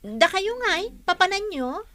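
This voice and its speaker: A woman playing a thrifty, cheerful younger married woman in an Ilocano radio drama, talking in an expressive, frank, teasing way. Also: very high voice, unhurried, heavily acted. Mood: friendly, chatty.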